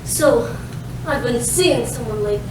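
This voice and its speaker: A very echoey, noisy distant recording of a woman acting a noir damsel for the stage. She is high-pitched, dramatic and ditzy, with a sweetly apologetic manner. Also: normal speed, natural voice. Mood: pleading, coy. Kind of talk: comedic staged reading, film noir parody. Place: live staged reading in a roomy space.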